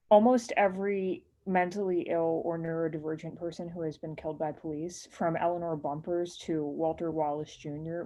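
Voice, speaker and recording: A woman giving an earnest personal statement as a speaker at a remote public meeting, with a halting, hesitant delivery. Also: fairly low pitch, mellow.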